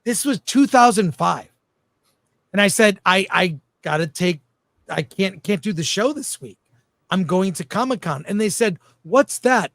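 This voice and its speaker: A man's voice: high voice, lively intonation, measured pace, creaky, a bit bright, dry recording. A middle-aged man hosting a casual panel talk, putting questions to his guests. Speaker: show host.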